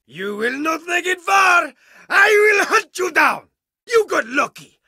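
A man's voice: extremely high pitch, sing-song, takes it slowly, pushed and bright, dry recording, raised voice, studio quality, exaggerated voice. An actor playing a stern, scornful male archaeologist in a video game, harsh and commanding as he scolds his student. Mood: threatening. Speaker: archaeologist mentor.

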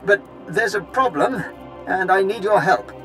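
A man's voice: sits high, a little slow, music in the background. An actor playing a wry, blustering Imperial officer and anxious father in a video game, speaking in a dramatic, emphatic manner. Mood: apologetic, worried. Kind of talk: video game character dialogue.

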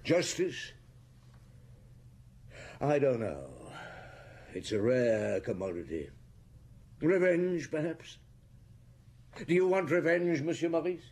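A shrewd British detective chief in a radio play. His husky voice moves expressively up and down as he probes and reflects, measured and world-weary. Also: on the high side, expressive, a little mellow, heavily acted. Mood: musing. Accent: British English. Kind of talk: British radio drama acting.

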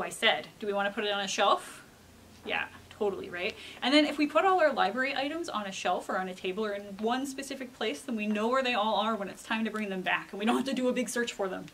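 High-voiced woman explaining things to children. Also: slight background noise. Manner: animated question-and-answer teaching, frequent check-in tags. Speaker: children's librarian presenter. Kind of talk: casual explanatory talk to children. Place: indoor library children's room.

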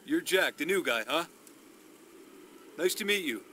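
A man's voice: fairly high pitch, lively intonation, measured pace, sharp tone, a little hiss or hum. An actor playing an easygoing, teasing young male knight who leads a bunk room in a video game. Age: young adult. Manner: teasing, casual. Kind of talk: scripted video game acting.

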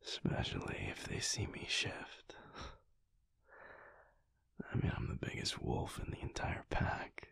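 A young man playing a big, bashful, affectionate werewolf boyfriend in an intimate audio roleplay, speaking softly and haltingly in a husky near-whisper. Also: no echo, lightly acted. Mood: tender, earnest. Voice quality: breathy, husky, gravelly. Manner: halting, soft, hesitant with small chuckles.

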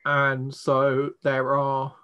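A man's voice: medium pitch, monotone, very slow, clean tone, highs cut off. A man with an English accent, casually explaining a tabletop roleplaying game as its game master.

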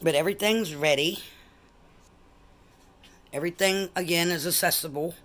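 A woman's voice: on the low side, normal speed, fairly bright tone. A woman, chatting casually as she walks viewers through her buffet table. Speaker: collector showing a buffet table. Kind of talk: casual show-and-tell vlog. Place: home dining room, indoors. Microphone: handheld phone camera.

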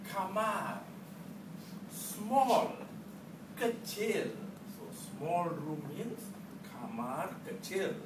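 A middle-aged man with a Malaysian accent delivers a humorous prepared stage speech, theatrical and deliberate, with emphatic pauses. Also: a little high. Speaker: contest speaker at a public speaking club. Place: indoor club meeting room. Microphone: far camera. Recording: distant mic in echoey room, noisy.

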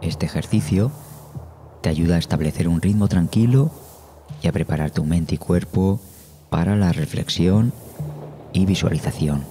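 Very deep, dark-voiced man guiding meditation. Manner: slow, soothing, measured delivery with long pauses. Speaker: meditation guide. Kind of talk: guided meditation narration. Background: meditation music.

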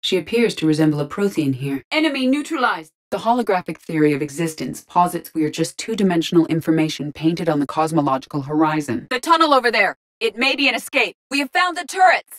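The onboard computer of a video game starship: a low, measured female machine mind, curious and logical, briefing the crew with clinical formality. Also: a little mellow. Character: calm, logical, curious female artificial intelligence in a robot body. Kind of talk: video game character voice lines.

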